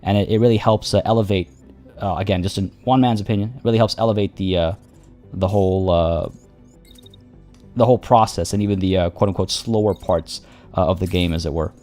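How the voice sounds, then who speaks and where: A fairly low-voiced young man chats casually over his game, his voice slightly worn. He rambles, restarts sentences and fills pauses with "uh" and "like". Faint game music plays softly behind him. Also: steady tone. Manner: rambling, many restarts and fillers. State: voice getting worn. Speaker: streamer. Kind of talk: casual gameplay commentary.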